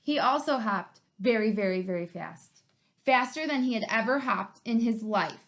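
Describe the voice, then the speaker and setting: A woman co-reading a children's story, narrating in an expressive, measured way. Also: a little high. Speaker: co-reader of the story.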